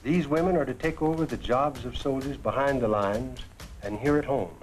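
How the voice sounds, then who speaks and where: A man's voice: mid-range voice, normal speed, faint music behind. A man narrating a 1940s newsreel in a formal, official tone. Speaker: film narrator.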